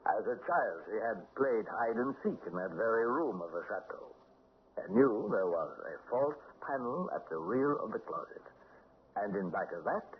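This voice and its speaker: Radio play nobleman: low, reassuring, devoted husband, muffled vintage recording with faint noise. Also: big pitch swings, moderate pace. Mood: soothing. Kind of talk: old-time radio drama acting.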